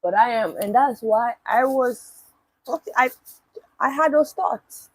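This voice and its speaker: Husky woman chatting on stream. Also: a little high, a little slow, no echo, low-quality recording. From Nigeria. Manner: talks back to chat comments, blunt and swearing. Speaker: live streamer. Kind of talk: casual live stream chat.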